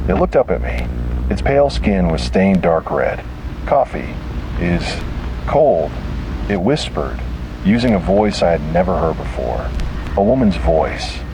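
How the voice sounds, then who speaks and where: A man narrating scripted first-person horror in a dramatic, deliberate and grim manner. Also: low-pitched, expressive. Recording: noisy, hissy analog tape sound.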